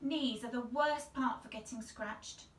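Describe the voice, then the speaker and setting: A defiant little storybook girl acted by a woman, grumbling and dramatic in a raised pitch. The voice sounds in a very echoey room. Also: a little slow, distant mic, natural voice. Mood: protesting. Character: cross, defiant little girl playing tree pirate.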